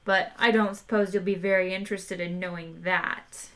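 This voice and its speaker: A woman voicing a depressed male robot in a storybook reading, speaking flatly with weary sarcasm. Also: mid-range voice, moderate pace, natural voice. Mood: gloomy deadpan. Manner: flat, weary, sardonic. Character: gloomy depressed male robot, low dull voice.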